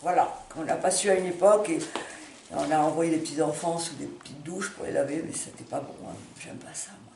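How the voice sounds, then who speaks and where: A woman's voice: deep voice, vocal fry. Woman speaking from afar in a roomy space. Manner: halting, emphatic, repeating words and phrases. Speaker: speaker giving a personal appeal. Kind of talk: impromptu impassioned monologue to camera. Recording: roomy, distant mic with background noise.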